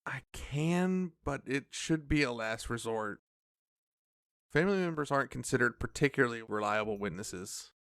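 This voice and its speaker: Give a calm, patient police officer, acted by a man in an audio drama. The voice is gravelly and recorded very dry. The delivery is measured, reassuring and matter-of-fact. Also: medium pitch, no room sound. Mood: calm, helpful.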